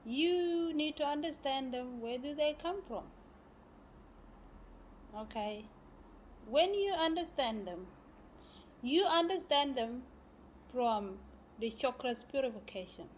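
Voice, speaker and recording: Slow, high-voiced spiritual teacher woman. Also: animated, a little hiss or hum, low-quality recording. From South Sudan. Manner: halting, searching for words with many fillers.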